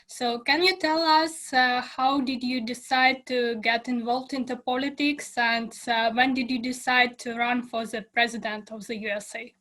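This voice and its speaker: Casting: young woman, high bright voice, courteous formal interviewer on a webcam call. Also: fairly even intonation. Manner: polite, slightly formal questions with pauses. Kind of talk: remote video interview. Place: small indoor room. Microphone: webcam on a video call.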